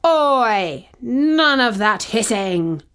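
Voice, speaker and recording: A woman's voice: a little high, sing-song, drawn-out words, fairly bright tone, clean tone, heavily acted. A woman voicing a stern, grumpy male priest in a children's story, firm and admonishing. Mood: grumpy scolding.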